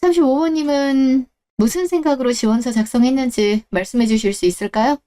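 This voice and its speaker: A woman's voice: high voice, fairly quick, mellow, lightly acted. A woman playing a cool, composed young woman CEO. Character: young woman co-CEO interviewing her ex-husband. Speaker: job interviewer. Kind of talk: Korean story radio drama.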